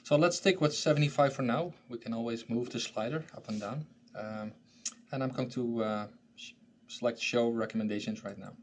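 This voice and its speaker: A man hosting a training session, walking through a software tool in a conversational style. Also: medium pitch, normal speed, slight background noise. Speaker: training presenter and host.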